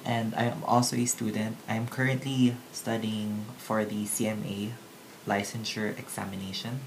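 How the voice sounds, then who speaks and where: A man in his early twenties with a Filipino accent, a podcast guest who talks in a relaxed, hesitant way full of ums. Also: fairly low pitch, background noise. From Philippines. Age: 20s. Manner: relaxed, hesitant with many ums, switches into Tagalog. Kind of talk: casual podcast chat.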